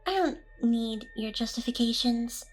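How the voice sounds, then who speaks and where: A woman's voice: fairly high pitch, expressive, unhurried, soft background music, poor audio. A woman playing an ancient, smug, seductive demon boss in an ASMR roleplay, with an intimate, teasing delivery. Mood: jealous, cold.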